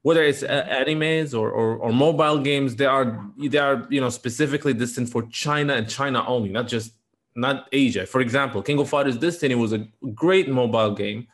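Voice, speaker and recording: A man at a middle pitch hosts a relaxed interview. He builds long, wandering questions, doubling words and stopping to restart as he gathers his thoughts. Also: brisk. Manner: rambling, many restarts and fillers. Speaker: host. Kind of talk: casual interview.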